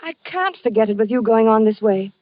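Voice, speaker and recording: An actress in an old-time radio drama playing a worried, solicitous wife who coaxes and pleads. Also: female voice, fairly high pitch, animated, brisk, dry recording, lightly acted. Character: worried, coaxing wife of a troubled man. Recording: muffled vintage radio recording with music.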